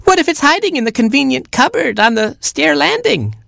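A reader squeaking as Baby Chick, a shrill tiny boy chick. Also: big pitch swings, fairly quick, vocal fry, a bit bright, dry recording, studio quality, full character voice. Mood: squealing. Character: tiny excitable boy chick, dramatic and whiny.

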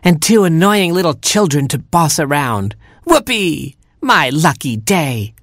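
A man's voice: on the high side, big pitch swings, a little slow, fairly bright tone, dry recording, clean studio sound, pitched above the performer's own voice, heavily acted. A man voicing a bossy, sarcastic female skunk stepmother in an animal storybook. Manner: sarcastic, domineering.

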